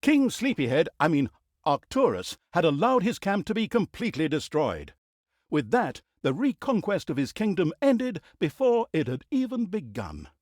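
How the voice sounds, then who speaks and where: Sardonic fantasy game narrator: hugely animated storybook delivery, arch and mock-grand, on the higher side, quick to exasperation. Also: male voice, dry recording, clean studio sound. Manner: dramatic, tongue-in-cheek, arch delivery.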